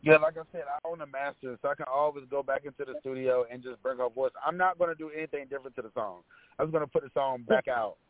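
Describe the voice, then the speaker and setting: A man with a raspy voice, a rapper guest, telling stories in a relaxed, casual way with lots of fillers. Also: mid-range voice, fast talker, no echo. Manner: relaxed storytelling with many fillers. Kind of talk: casual interview chat. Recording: tinny phone-line sound.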